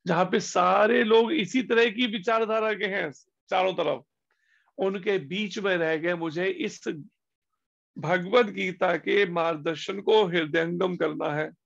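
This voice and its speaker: A man giving a devotional discourse as a spiritual teacher, slowing down on key words and asking rhetorical questions. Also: a little high, vocal fry. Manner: slow, deliberate emphasis with pauses on key words. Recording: dull lo-fi recording.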